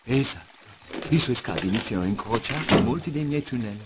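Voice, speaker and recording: A man's voice: lively intonation, fairly quick, natural voice. A man playing an earnest, worried ally and dig leader in a fan-dubbed game cutscene, speaking with urgency. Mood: grave, explaining. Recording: noisy, low-quality amateur dub.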